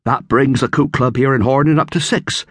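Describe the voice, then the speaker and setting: A man's voice: medium pitch, normal speed, fairly bright tone, no echo, clean studio sound, voice pushed up, natural voice. A man voicing Joe, a practical young boy, in an audiobook. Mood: matter-of-fact. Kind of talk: audiobook character voice.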